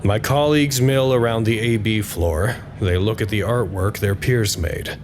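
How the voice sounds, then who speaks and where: An actor playing an anxious, weary researcher who narrates a log in a measured, wry way for an audio drama. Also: male voice, lowish voice, background noise, lightly acted. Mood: calmer, wry.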